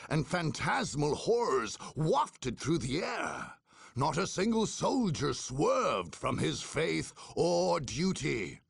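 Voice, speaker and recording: An actor playing a solemn, proud chronicler of a warrior order, narrating a game cutscene in a formal, grandiose manner. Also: male voice, on the high side, vocal fry, a bit bright, husky, no room sound, clean studio sound, exaggerated voice. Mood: proud, reverent. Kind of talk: dramatic scripted war-chronicle narration.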